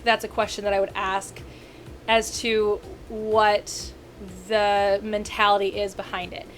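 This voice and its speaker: Rambling woman in her thirties, higher voice. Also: a little hiss or hum. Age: 30s. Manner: rambling and animated, with frequent self-corrections and asides. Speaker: video critic. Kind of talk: casual rant to camera.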